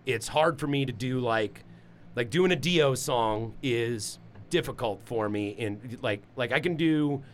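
A man with a deep voice hosting a podcast, rambling loosely about singing and music apps. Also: unhurried, a little hiss or hum. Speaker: podcast host; singer. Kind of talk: casual chat.

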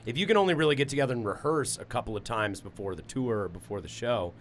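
A man with a deep voice hosting a podcast, rambling loosely about singing and music apps. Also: fast talker, slight background noise. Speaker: podcast host; singer. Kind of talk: casual chat.

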